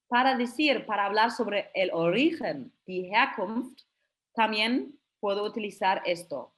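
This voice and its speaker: Woman teaching slowly. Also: medium pitch. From Germany. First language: German. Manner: slow, careful demonstrations of German phrases between Spanish explanations. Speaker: German teacher. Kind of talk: webinar lesson for beginners.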